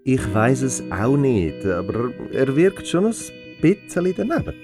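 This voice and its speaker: An actor playing a tired, gently probing middle-aged father with a Swiss German accent in a children's audio drama. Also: mid-range voice, big pitch swings, measured pace, music in the background. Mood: concerned.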